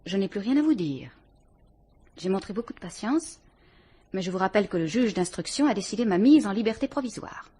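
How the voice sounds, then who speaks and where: A woman's voice: medium pitch, animated, fairly quick, dark tone, slightly rough. A woman playing a cool, defiant murder suspect, speaking in an icy, haughty, sarcastic manner in a vintage radio drama.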